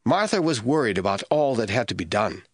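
A man's voice: on the low side, sing-song, fairly quick, dry recording, studio quality. A man giving a scripted, dramatic narration of scripture as the narrator of an audio drama.

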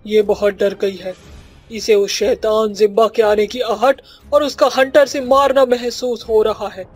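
A man's voice: high-pitched, steady tone, measured pace, slightly rough, soft background music. A man voicing an oppressed captive witch in a cartoon, speaking in an earnest, plaintive way. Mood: worried. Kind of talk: Hindi cartoon acting.

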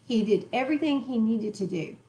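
A woman's voice: fairly high pitch, brisk, mellow, low-quality recording. A woman leading a home Bible study, stressing words and joking with the group, recorded by a camera in a living room. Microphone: far camera in the room.

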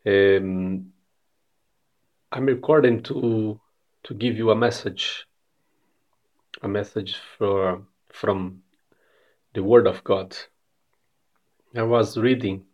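A man in his thirties from Brazil, with a Brazilian Portuguese accent, sharing a short devotional message in careful non-native English, often repeating words, recorded on a phone at arm's length in a bright room. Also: fairly low pitch, highs cut off. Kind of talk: casual devotional message to camera. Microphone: phone camera at arm's length.

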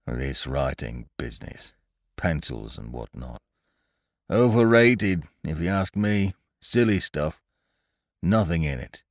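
A man's voice: sits low, slow pace, no room sound, clean studio sound. A man voicing a gloomy, self-important old donkey in a storybook, speaking in a ponderous, doleful way. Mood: dismissive.

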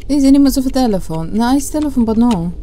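A woman's voice: fairly high pitch, animated, fast, mellow, a little hiss or hum. A woman giving casual playthrough commentary on a horror game, reacting aloud and talking to herself and the game. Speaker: gaming video host.

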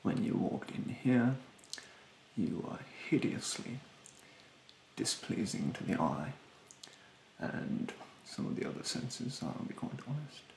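A man in his twenties doing an ASMR roleplay as a rude beautician, speaking softly and breathily, often near a whisper, in a haughty, condescending way. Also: medium pitch, very animated, slow pace, vocal fry, echoey room, a little hiss or hum, low-quality recording. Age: 20s. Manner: haughty, condescending, politely insulting. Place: small bedroom, indoors.

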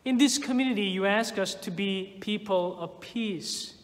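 A minister reading prayers in a slow, measured liturgical manner in a church sanctuary. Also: male voice, sits high, long reverb tail. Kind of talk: leading prayers in a church service.

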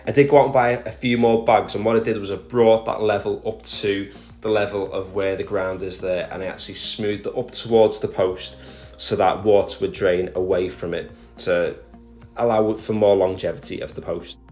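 A man with a low voice talks methodically through a do-it-yourself project in a recorded voice-over. Faint music plays quietly underneath him. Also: fairly even intonation, normal speed. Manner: plain, methodical explaining with small restarts. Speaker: garden DIY vlogger. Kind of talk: voice-over narration of a DIY project.

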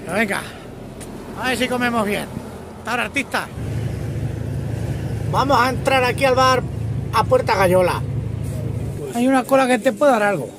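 A middle-aged truck driver talks casually into a phone held at arm's length. His voice sits high for a man. Loud wind and traffic noise surround him by the roadside. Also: moderate pace, pauses often. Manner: chatty, greets viewers as artists. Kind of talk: casual vlog chat to camera. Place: outdoors by trucks and roadside, windy. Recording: noisy outdoor phone audio.

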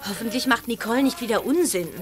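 A woman's voice: on the high side, fast, music in the background, lightly acted. An actress playing an earnest, caring teenage farm girl in a children's audio drama, with a youthful delivery. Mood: concerned.

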